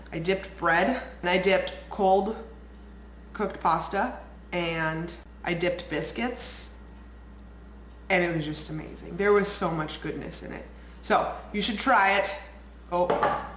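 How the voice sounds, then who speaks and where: A young woman vlogging in a casual, rambling way, a bit congested and nasal from a cold. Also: mid-range voice. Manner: bubbly, rambling, lots of "like". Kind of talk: casual chatty vlog. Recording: echoey room, faint ambient noise.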